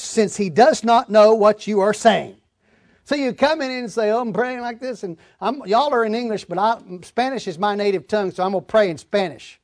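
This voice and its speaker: A man's voice: high voice, a little mellow, dry recording, clean studio sound. A man preaching a verse-by-verse Bible lesson in an emphatic, folksy, plain-spoken way. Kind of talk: Bible-teaching sermon, verse-by-verse reading and explanation.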